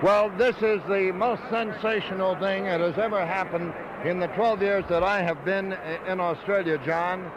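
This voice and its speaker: A man's voice: high-pitched, steady tone, moderate pace, hardly any pauses. A middle-aged man in the wrestling business giving an emphatic, hyperbolic interview with some stumbles. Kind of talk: excited on-the-spot interview. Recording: dull, noisy old radio recording.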